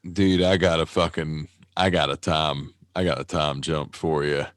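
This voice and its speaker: Low-voiced man, 30s, podcast co-host; loose, profane, self-mocking, dry mic. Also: flat delivery, moderate pace, no room sound. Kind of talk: casual chat.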